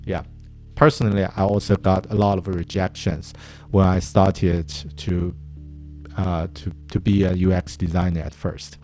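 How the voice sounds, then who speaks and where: Casting: male design mentor, non-native speaker with Chinese as his first language, lowish voice, unhurried delivery, soft guitar underneath. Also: flat delivery. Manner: slow, halting, with restarts and fillers. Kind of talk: advice talk to camera.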